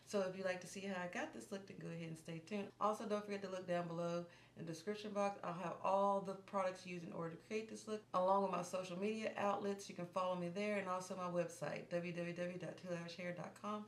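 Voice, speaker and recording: Low-voiced woman vlogger in echoey room. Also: quick pace, hardly any pauses. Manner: calm, instructive, softens to near murmur while working. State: slightly losing her voice. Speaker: beauty vlogger. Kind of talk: step-by-step makeup tutorial. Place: small indoor room against a plain wall. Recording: echoey room with background hiss.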